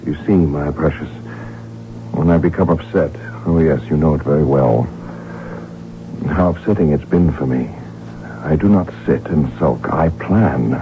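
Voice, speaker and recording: Deep-voiced, proud archduke. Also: male voice, unhurried, long pauses, slight background noise. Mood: indignant pride. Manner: grandiose, emphatic, theatrical. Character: proud, ambitious, defiant archduke devoted to his wife. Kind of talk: 1970s radio drama acting. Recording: muffled, humming old radio broadcast recording.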